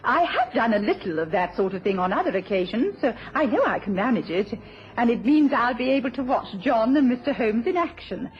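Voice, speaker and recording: An actress playing a gentle, anxious, devoted wife in a radio drama, speaking politely and haltingly. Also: female voice, fairly high pitch, animated, lightly acted. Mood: pleased, polite. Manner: polite, halting, breaking off when flustered. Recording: dull, noisy old radio recording.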